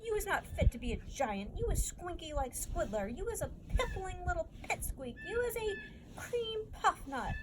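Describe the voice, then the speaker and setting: A woman voicing a greedy, bullying male giant who shouts in mangled comic grammar in a storybook reading. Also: extremely high pitch, big pitch swings, moderate pace, very noisy, pitched above the performer's own voice. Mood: insulting, angry. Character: greedy bullying man-eating giant, male, foul-tempered.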